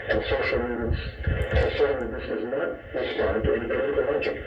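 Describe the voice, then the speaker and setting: A man's voice: mid-range voice, a little hiss or hum. A man reading scripted radio news as a presenter, heard over shortwave radio. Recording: dull shortwave radio reception, distant, echoey. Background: shortwave radio transmission.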